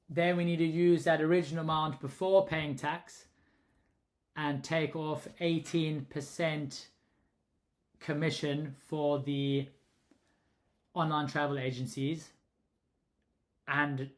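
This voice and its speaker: A young man with a South African accent casually talking through a pricing tutorial, thinking aloud and often correcting himself. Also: on the high side. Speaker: short-term rental host explaining pricing. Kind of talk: casual screen-recorded tutorial.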